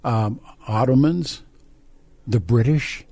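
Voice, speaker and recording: A man's voice: mid-range voice, slow pace, dark tone, no echo. American man hosting talk radio, dry studio sound. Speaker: radio talk show host. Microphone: close studio microphone.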